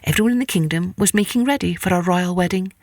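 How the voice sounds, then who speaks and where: A woman's voice: fairly low pitch, fast talker, a little mellow, dry recording. A woman storyteller reading a scripted children's folktale expressively.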